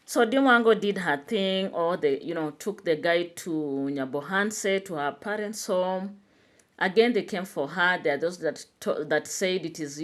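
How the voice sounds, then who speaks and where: Woman vlogger, lower register, casual talk-to-camera commentary with lots of fillers. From Kenya. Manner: chatty, animated, with frequent "you know" fillers. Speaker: YouTube commentator.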